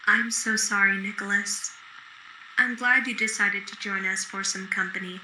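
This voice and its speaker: Woman acting as kind widowed young mother, very bright voice, sincere, gracious, radio drama, echoey room. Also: mid-range voice, steady tone, moderate pace. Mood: sympathetic. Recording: echoey room with faint background noise.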